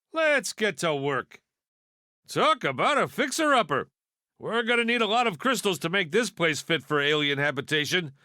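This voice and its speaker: A man's voice: high-pitched, bright, forceful tone, no room sound, clean studio sound, exaggerated voice. A man voicing a wisecracking toy piggy bank in a video game, a brash know-it-all with a sardonic, folksy delivery. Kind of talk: video game character voice lines.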